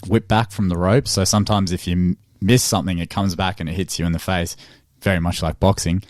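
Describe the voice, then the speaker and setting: A man from Zimbabwe, an amateur boxer, chatting casually in an interview, relaxed and quick to laugh. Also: low voice, dry recording, clean studio sound. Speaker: amateur boxer in charity fight.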